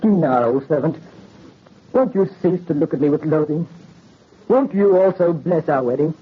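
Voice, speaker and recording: A man's voice: on the high side, expressive, a little slow, breathy edge. A man playing an ardent young noble bridegroom in a 1930s radio drama, earnest and melodramatic. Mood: dramatic. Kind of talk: 1930s radio romantic acting. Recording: muffled old radio recording, faint noise.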